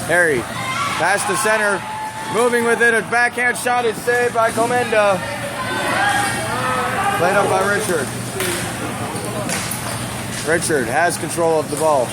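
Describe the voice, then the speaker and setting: A hoarse male play-by-play announcer with a high voice, calling a hockey game from a cramped booth. He keeps a brisk pace and bursts into shouts on key plays. A loud crowd and heavy arena noise fill the background. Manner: brisk, rising to excited shouts on big plays. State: hoarse from calling many games. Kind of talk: live sports play-by-play commentary. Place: small broadcast booth above an indoor rink.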